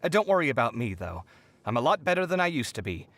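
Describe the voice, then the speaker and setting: A man voicing a proud, flamboyant young artist in a video game, talking in an expressive, theatrical way. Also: medium pitch, fast talker, no echo. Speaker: game character. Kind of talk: game character voice lines.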